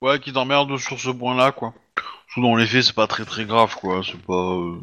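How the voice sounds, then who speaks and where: A man's voice: mid-range voice, normal speed, sharp tone, highs cut off. A man running a tabletop roleplaying game as game master. He narrates casually, with lots of fillers and some slang.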